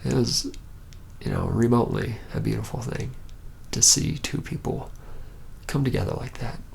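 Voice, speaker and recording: A man playing a shy, reluctant young vampire in an ASMR roleplay, speaking softly and haltingly with wry, conversational hesitation, often near a whisper. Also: medium pitch, gravelly, a little hiss or hum. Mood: fond, wistful. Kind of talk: ASMR roleplay, soft-spoken rambling confessional.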